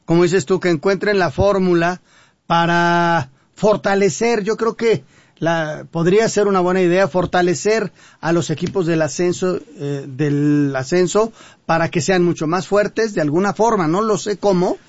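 A man's voice: high voice, moderate pace, no echo, lo-fi sound. A man hosting a sports radio show, talking casually and restarting his sentences often. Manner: conversational, hesitant restarts.

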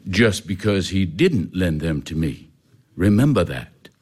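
An actor playing a calculating, bossy middle-aged uncle with a Southern US accent in a stage drama. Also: male voice, sits low, sing-song, measured pace, darkish tone, breathy edge, exaggerated voice. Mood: scheming. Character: domineering, sharp-tongued, calculating Southern uncle.

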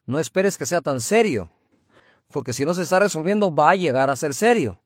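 A pastor hosting an interview, interjecting with preachy teaching asides. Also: male voice, on the high side, animated, a little fast, no echo, clean studio sound. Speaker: pastor, host. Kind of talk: interview conversation on faith.

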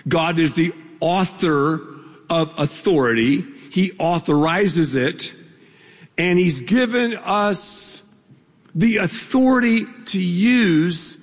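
A male pastor with a slightly high voice, preaching at an unhurried pace. He tells stories in a relaxed, joking way and talks straight to the congregation. The sound rings with the long reverb of a large church hall. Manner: storytelling, conversational, playful asides to the congregation. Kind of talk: sermon.

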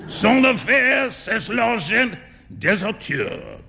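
A man reads the part of a theatrical gentleman artist from a storybook. He is high-voiced and swooping, with drawled, teasing emphasis. He sounds amused and thoroughly worldly. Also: sing-song, a little slow, slight background noise, heavily acted. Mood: teasing, jovial. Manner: flamboyant, drawling emphasis, drops in French phrases.

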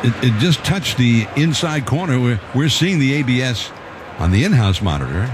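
A male play-by-play announcer calling a baseball game in a conversational, measured way. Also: background noise. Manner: conversational, measured, calls pitches with rising excitement. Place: stadium broadcast booth.

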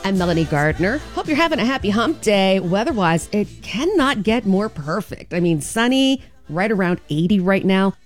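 A woman's voice: medium pitch, very animated, normal speed, hardly any pauses, soft background music. A woman radio host chatting casually through the weather with a slightly stuffy nose.

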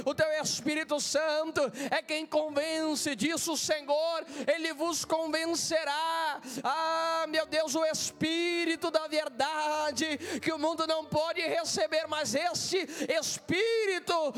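Brazilian preacher guy praying, super high voice, slow and pleading, music playing behind him. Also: fairly bright tone. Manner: impassioned, pleading, repetitive, with frequent interjected invocations. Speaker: preacher leading a prayer.

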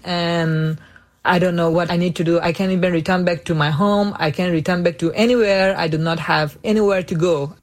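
A young man from Myanmar, giving earnest interview answers in measured, deliberate English. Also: high voice. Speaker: interview guest, refugee activist.

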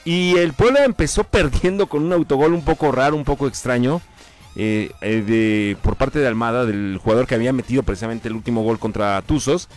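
A man's voice: mid-range voice, fairly quick, faint music behind. A man, chatting casually about football on a show, rambling with many fillers. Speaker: sports commentator on a radio show. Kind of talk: casual sports chat.

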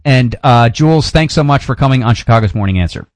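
A man's voice: mid-range voice, animated, quick pace, no echo. Man, american radio host, rambling chat, lots of ums, dry close studio sound. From United States. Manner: rambling, many fillers and restarts. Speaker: radio talk show host. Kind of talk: casual radio interview chat.

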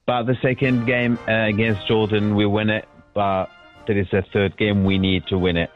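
A man from Algeria with an Algerian accent, a native Arabic speaker calling in to radio, speaking in halting, simple phrases. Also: lowish voice, little pitch movement, faint music behind. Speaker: radio caller. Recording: lo-fi phone line.

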